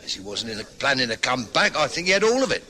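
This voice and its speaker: An actor playing a hostile, defensive husband in a radio drama, sneering and blustering. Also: male voice, medium pitch, sing-song, quick pace, sharp tone. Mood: surly. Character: belligerent pub-drinking husband, surly and defensive. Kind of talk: 1960s radio drama acting.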